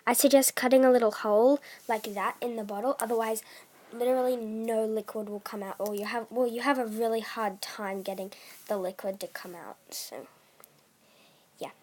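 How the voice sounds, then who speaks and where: A teenage girl talks through a casual vlog in a fairly high voice. She speaks softly, leans on fillers, and often fades into a whisper. She has a bad cough. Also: fairly even intonation, normal speed. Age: teens. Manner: soft-spoken, many fillers, trails off into whispers. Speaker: doll collector vlogger. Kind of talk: casual chat.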